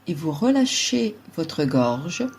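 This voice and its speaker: A woman guides a relaxation meditation as a yoga nidra teacher, giving calm, measured instructions in short phrases. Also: low-pitched, slight background noise.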